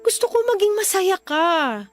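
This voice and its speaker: A woman's voice: extremely high pitch, sing-song, moderate pace. A woman playing a caring but exasperated friend in a Filipino radio drama, earnestly admonishing with a Filipino accent. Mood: earnest, pleading. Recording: dramatic music behind the voice.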